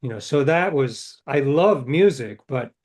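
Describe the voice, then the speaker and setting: A man's voice: mid-range voice, expressive, a little slow, darkish tone. Rambling older man telling stories. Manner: rambling, self-correcting, acts out quoted dialogue. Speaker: interview guest, novelist. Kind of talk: casual storytelling.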